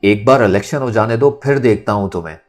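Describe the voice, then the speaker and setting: A man's voice: fairly low pitch, fast talker, natural voice. A man playing a hot-tempered young man in a storybook, threatening and accusing. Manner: shouting, accusing. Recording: music under the voice.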